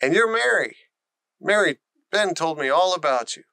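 Man as storybook country boy, friendly, eager, reassuring, bright raised voice, crisp. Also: on the high side, animated, moderate pace, no echo, studio quality, heavily acted. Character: friendly, cheerful country boy who loves animals.

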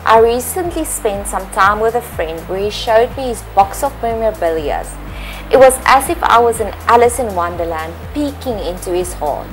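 A woman's voice: mid-range voice, rough recording. Earnest Afrikaans-accented woman blessing listeners. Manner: earnest, blessing-giving, careful phrasing with small slips. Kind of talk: read devotional voice-over.

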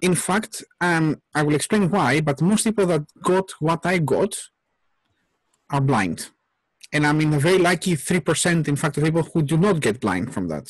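A man's voice: on the high side, dry recording, low-quality recording. A man in his forties from Greece, speaking English, earnestly explaining at length as an interview guest over a headset. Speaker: guest, software company CTO. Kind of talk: casual interview talk. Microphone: headset on a video call.

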